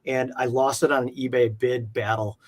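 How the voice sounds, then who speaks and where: A man chatting casually as an interview guest, earnest and halting, circling back over phrases for emphasis. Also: mid-range voice, fairly even intonation. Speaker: guest, comic art collector.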